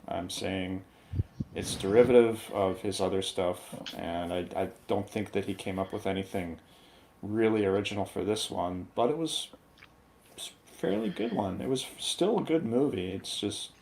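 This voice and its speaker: A man giving his opinion in a casual film review chat, hesitant and measured as he weighs his verdict. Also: on the low side, gravelly, a little mellow, a little hiss or hum. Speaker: guest movie reviewer.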